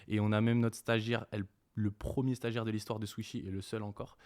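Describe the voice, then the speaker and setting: Guy hosting a chill interview, kinda low voice, friendly and eager. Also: little pitch movement, a little fast, darkish tone. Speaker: interview host. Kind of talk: casual interview chat.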